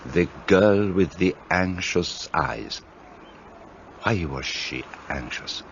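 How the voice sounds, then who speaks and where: A fussy Belgian detective, very deep voice, lively lilting delivery, slightly dull audio, faint hiss. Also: male voice, takes it slowly, exaggerated voice. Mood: explaining. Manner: precise, lecturing, courteous. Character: shrewd fussy Belgian detective, middle-aged man. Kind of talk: radio play acting.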